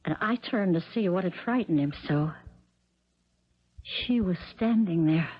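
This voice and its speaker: A woman's voice: lowish voice, expressive, a little slow, husky. A woman playing a shaken, earnest stepmother in a 1970s radio drama. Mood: hushed, haunted. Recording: muffled vintage radio broadcast.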